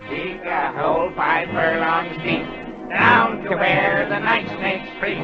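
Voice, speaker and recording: An actor playing a solemn royal magician, chanting spells rhythmically in a 1940s radio drama. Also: male voice, high-pitched, fairly even intonation, takes it slowly. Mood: ominous chanting. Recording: dull 1940 radio recording, loud music.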